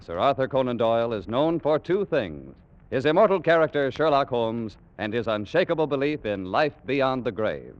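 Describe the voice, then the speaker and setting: A man announcing a 1940s radio show, reading a script in a formal, measured declamation. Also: medium pitch. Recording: muffled vintage radio recording with music.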